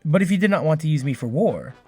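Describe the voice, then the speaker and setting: A man's voice: on the high side, animated, fast, dark tone, husky, faint music behind. An actor playing an earnest, curious male android hero in an audio drama, formal and questioning in his phrasing. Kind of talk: cinematic audio drama acting.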